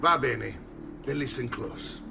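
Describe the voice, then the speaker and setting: Gravelly Italian commander uncle. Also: male voice, medium pitch, distant mic, noisy background. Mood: commanding. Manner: commanding, measured, peppers speech with Italian. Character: gruff seasoned Italian uncle, commander of assassins, firm but hearty. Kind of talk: video game cinematic acting.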